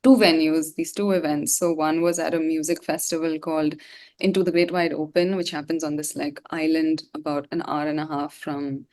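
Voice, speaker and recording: Low-pitched woman, chatting informally. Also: level tone, normal speed, darkish tone. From India. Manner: informal, slangy, rambling. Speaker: singer being interviewed. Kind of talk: casual chat.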